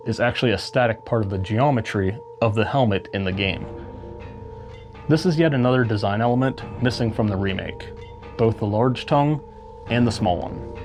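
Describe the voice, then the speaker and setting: A man's voice: medium pitch, a little mellow. A man presenting a cosplay build in casual, explanatory narration. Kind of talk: casual tutorial narration with comedic skits.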